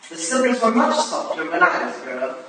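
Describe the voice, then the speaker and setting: Far from the mic in a very echoey, noisy room, an actress plays an aloof Victorian lady mentor in a staged reading. She is formal and commanding, with a lively swing in pitch. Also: a little fast. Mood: dry, reflective. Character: stern, aloof older Victorian woman, crusading mentor.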